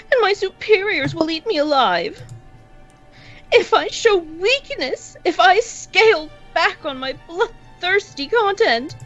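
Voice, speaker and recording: Very high-voiced, haughty alien noblewoman. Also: a bit bright, lo-fi sound, full character voice. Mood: anguished, resolute. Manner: slow, theatrical, formal speech. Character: haughty, sinister, lonely alien noblewoman with grand self-pity. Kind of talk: dramatic character acting in narrated story.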